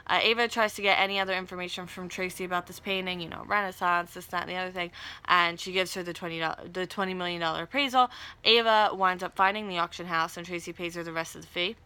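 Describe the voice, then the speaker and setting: A woman's voice: lowish voice, steady tone, quick pace, pushed and bright, clean tone. A woman hosting a casual, chatty TV soap recap, with wry asides and slangy, conversational paraphrasing. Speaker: video recap host.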